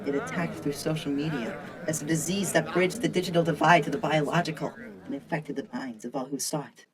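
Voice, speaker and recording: A woman giving solemn, theatrical narration for a comic book drama, on a distant recording with loud noise. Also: low-pitched, steady tone, fairly quick, over a noisy crowd, lightly acted. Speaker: fan dub narrator.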